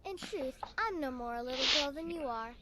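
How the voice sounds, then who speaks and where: A woman's voice: high voice, animated, brisk. An actress playing a centuries-old vampire in a girl's body who talks in a sly, knowing way. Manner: sly, knowing, mock-innocent. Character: ancient vampire woman in a little girl's body. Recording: game audio with background noise.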